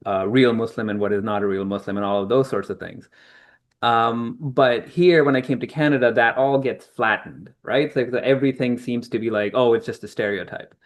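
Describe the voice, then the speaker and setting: A middle-aged man from Pakistan, an author being interviewed, explaining things thoughtfully with frequent ums and restarts. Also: fairly low pitch. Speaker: author guest. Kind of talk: conversational interview answers.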